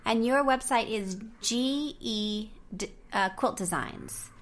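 A woman hosting an interview podcast, relaxed and casual, with many pauses and short interjections. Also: a little high. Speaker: podcast host.

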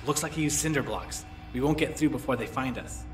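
A man's voice: mid-range voice, moderate pace, lightly acted. A man playing an urgent, exasperated grown son under threat in an audio drama, practical in manner. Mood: tense. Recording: music underneath the dialogue.

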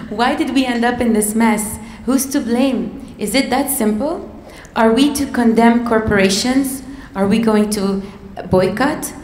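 A woman hosting an event, reading a measured scripted introduction from a laptop at a podium with a handheld mic. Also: a little high, a little mellow. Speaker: event host. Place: large auditorium stage. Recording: echoey hall with long reverb.

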